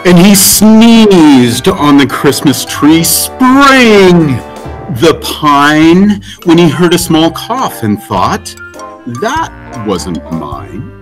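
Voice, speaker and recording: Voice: mid-range voice, sing-song, extremely slow, faint music behind. A person reading a rhyming story aloud in a theatrical, dramatic way. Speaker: story reader.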